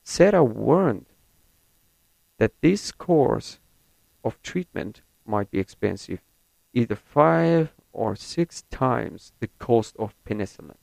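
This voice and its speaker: A young man from Syria whose first language is Kurdish reads a set passage slowly and carefully, then talks about himself. He speaks English with an American-leaning accent. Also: mid-range voice, very animated, creaky, darkish tone, dry recording, low-quality recording. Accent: American-leaning non-native English. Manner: slow, careful and deliberate. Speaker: university student. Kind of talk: reading a set passage, then personal account.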